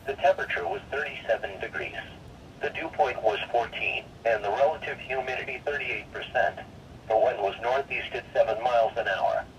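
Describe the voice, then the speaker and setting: Distant radio sound, faint noise, male forecast announcer, mid-pitched, synthesized, formal scripted reading. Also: gravelly. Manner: formal, impersonal, synthesized delivery. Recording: noisy, distant-sounding weather radio broadcast.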